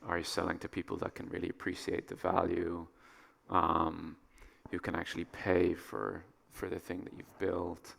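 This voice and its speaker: A man with a gravelly voice speaking as a conference panelist in a measured, conversational, advisory way. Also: sits low, completely flat delivery, a bit bright. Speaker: startup founder on a panel. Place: on stage at a conference.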